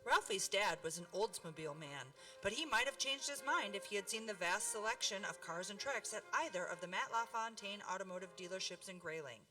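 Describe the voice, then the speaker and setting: A woman's voice: mid-range voice, sharp tone, recorded from a distance. A woman announcer reading a scripted radio commercial.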